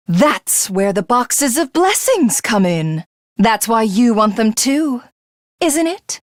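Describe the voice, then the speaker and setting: A woman's voice: medium pitch, no room sound, studio quality. A voice actress playing a haughty, cruel female alien supreme commander for a video game, with imperious, theatrical, formal diction. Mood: cruel relish.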